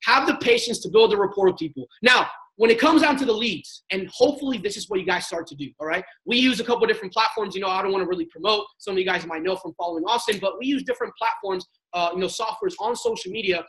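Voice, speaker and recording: A man's voice: high-pitched, animated, quick pace, hardly any pauses, a bit bright, rough recording. A young man on a sales panel, giving a motivational training talk in a casual, slangy way and acting out sample chats. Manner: emphatic, coaching delivery. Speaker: network marketing panelist.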